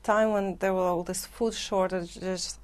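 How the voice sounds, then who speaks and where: A woman's voice: mid-range voice, steady tone, a little slow, a little mellow, slight background noise. A middle-aged woman from Moscow with a Russian accent, talking casually and earnestly as an interview guest, often saying "like". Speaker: guest, concert pianist. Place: TV studio, seated indoors.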